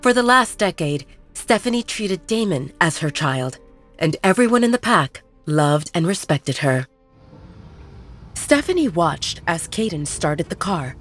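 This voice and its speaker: A woman narrating a romance audiobook at a steady storytelling pace. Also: fairly low pitch, a little slow. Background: soft music.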